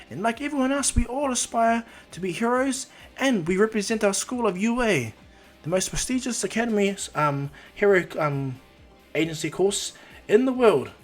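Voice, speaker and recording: A man's voice: medium pitch, breathy edge. A man voicing an eager young male hero student giving a rousing speech. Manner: rousing and loud.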